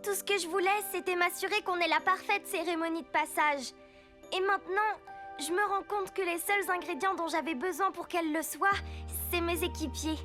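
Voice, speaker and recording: A woman voicing an earnest, encouraging young girl superhero who leads her team in an animated cartoon. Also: extremely high pitch, moderate pace, faint music behind. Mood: eager, sincere.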